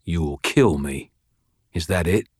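A man's voice: sits low, slow pace, a little mellow, husky, dry recording, studio quality, full character voice. A man voicing a smug, heavyset criminal in an audiobook, sneering and cocky in his slangy talk. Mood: snappish. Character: smug, snarling British male criminal, heavyset and insolent.

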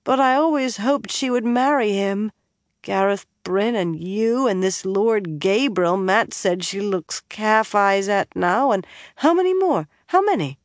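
A woman voicing a tipsy, proud young noblewoman who turns tearful, in a high, pleading voice. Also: expressive, slow, no room sound, studio quality. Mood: accusing.

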